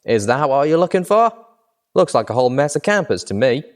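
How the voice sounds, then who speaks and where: A man's voice: medium pitch, big pitch swings, brisk, pitched above the performer's own voice, lightly acted. A man voicing a blunt male pegasus mercenary who talks in a folksy twang and curses casually. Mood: brash.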